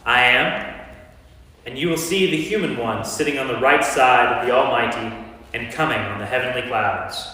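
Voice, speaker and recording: A man's voice: mid-range voice, normal speed, pushed and bright, natural voice. A man playing an anguished yet resolute Jesus in a staged church reading of scripture, praying and rebuking. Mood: firm, defiant. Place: church, recorded from a distance. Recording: very echoey church, distant mic, long reverb.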